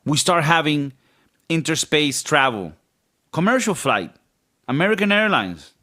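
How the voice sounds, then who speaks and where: A man with a raspy voice talking as a podcast co-host in a rambling, joking, casually profane way. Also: a little high, expressive, unhurried, sharp tone.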